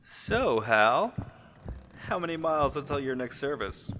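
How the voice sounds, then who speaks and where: A man's voice: mid-range voice, very animated, measured pace, over music. A man playing a sarcastic, restless sidekick who complains and needles, in an audio drama. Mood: griping, sarcastic. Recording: clearly noisy background, clicks.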